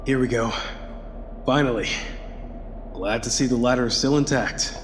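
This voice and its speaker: Casting: male actor for edgy, embittered space crewman, mid-pitched, sweary, mutters to himself; sci-fi audio drama, prominent music. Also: moderate pace, natural voice. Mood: anxious, weary. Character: anxious, bitter, foul-mouthed male spacecraft crew member. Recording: long hall-like reverb under loud music.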